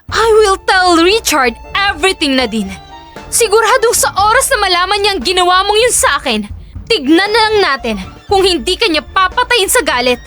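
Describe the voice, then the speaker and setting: A woman's voice: extremely high pitch, sing-song, moderate pace, bright, forceful tone, soft background music, pitched above the performer's own voice, full character voice. A woman playing a vengeful, coldly threatening blackmailer in an audio drama, taunting and menacing. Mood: bitter, threatening. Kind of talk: Filipino audio drama acting.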